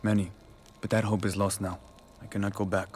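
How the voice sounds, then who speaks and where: A man playing a grave, proud rebel warrior leader who speaks formally and deliberately in a video game cutscene. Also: lowish voice, flat delivery, a little mellow, slight background noise, natural voice. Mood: grave, regretful. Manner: formal, deliberate, few contractions. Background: faint background music.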